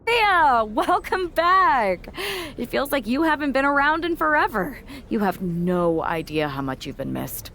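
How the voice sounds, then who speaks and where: A woman's voice: high voice, very animated, unhurried, fairly bright tone, full character voice. A woman playing an earnest, determined young wrestler recruiting a team in a video game cutscene. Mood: eager, awkward. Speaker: pro wrestler character.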